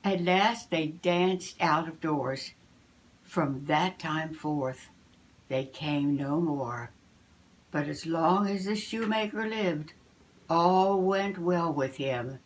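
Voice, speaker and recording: Slow-reading older woman telling a fairy tale. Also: sits low. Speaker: storyteller reading to family. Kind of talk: read-aloud fairy tale narration.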